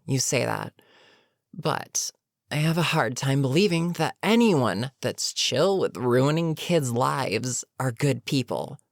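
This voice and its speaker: A woman playing an older, weary supervillain in an audio roleplay, menacing yet protectively concerned, with a measured, sardonic, sighing delivery. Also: a bass voice, vocal fry, no echo, studio quality, full character voice. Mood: disgusted, concerned. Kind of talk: dramatic audio roleplay monologue.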